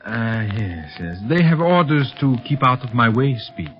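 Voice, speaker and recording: An actor playing an aging, eccentric villain inventor, formal and evasive, in 1930s radio serial style. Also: male voice, mid-range voice, sing-song, a little hiss or hum. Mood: courteous, guarded. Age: older. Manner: formal, courtly, hesitant evasive pauses. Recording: muffled old 1930s radio recording.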